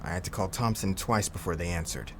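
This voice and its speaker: A man's voice: sits low, brisk, fairly bright tone, a little hiss or hum. A man playing a crime boss's right-hand man in an audio roleplay, reporting matter-of-factly. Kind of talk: scripted audio roleplay acting.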